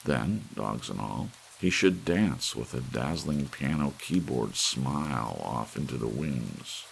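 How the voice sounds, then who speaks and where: A man's voice: extremely low pitch, expressive, slow pace, creaky. A man reading a story aloud as an audiobook narrator, in an ASMR bedtime style. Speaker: audiobook reader. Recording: faint hiss, soft rain sound. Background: soft rain.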